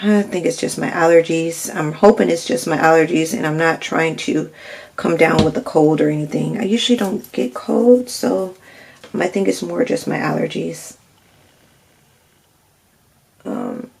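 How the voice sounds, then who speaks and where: Slow, gravelly woman demonstrating crafts. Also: low voice, a little mellow. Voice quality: slightly nasal and stuffy. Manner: chatty, narrates each step, keeps asking "right?". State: scratchy throat from allergies. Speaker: craft tutorial host. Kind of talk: casual step-by-step crafting demo.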